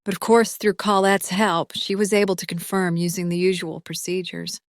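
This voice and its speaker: A woman's voice: lowish voice, level tone, moderate pace, dry recording, clean studio sound, natural voice. An actress playing a serene, thoughtful expectant mother with a reflective manner in an audio drama. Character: serene, thoughtful pregnant mother, a spiritual adept.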